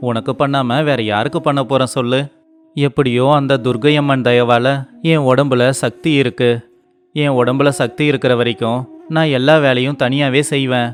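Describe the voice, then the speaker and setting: A man voicing a poor, devoted rickshaw driver in a storybook tale, earnest, gentle and pleading in manner. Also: medium pitch, fairly even intonation, moderate pace, lightly acted. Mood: tender, reassuring.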